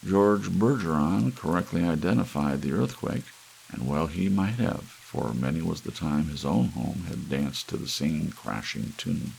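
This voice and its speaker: A man's voice: a bass voice, expressive, unhurried, vocal fry, poor audio. A man narrating an audiobook story in an ASMR style. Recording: faint hiss, soft rain behind. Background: soft rain.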